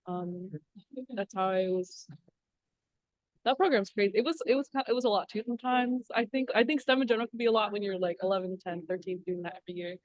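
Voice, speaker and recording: A woman's voice: mid-range voice, quick pace, dry recording, low-quality recording. A young woman from Alaska speaking on a panel in an earnest, encouraging way, with many fillers. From southwest Alaska. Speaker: panelist, engineer. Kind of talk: casual panel talk.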